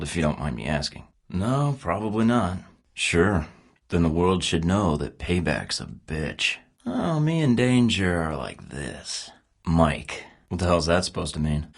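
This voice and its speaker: A cocky secret agent, deep and husky. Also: male voice, fairly bright tone, full character voice. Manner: dry sarcastic quips. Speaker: video game protagonist voice. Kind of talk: game voice lines.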